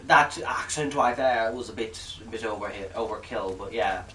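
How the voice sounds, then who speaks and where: A man's voice: lowish voice, moderate pace, sharp tone, distant mic, a little hiss or hum. A man from Ireland chatting casually while streaming a game, rambling and joking as he plays. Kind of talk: casual gameplay commentary. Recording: roomy, off-mic, game music behind.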